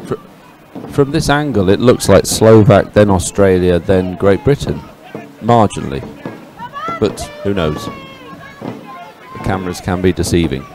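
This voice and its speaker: A man giving live race commentary as co-commentator, measured and informal in his analysis. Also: deep voice, animated. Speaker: sports co-commentator.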